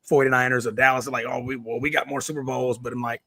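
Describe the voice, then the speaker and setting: A man co-hosting a sports podcast, his voice hoarse, talking in a casual, upbeat way and often calling his co-host "man" and "brother.". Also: mid-range voice, little pitch movement, fast, bright, forceful tone, dry recording.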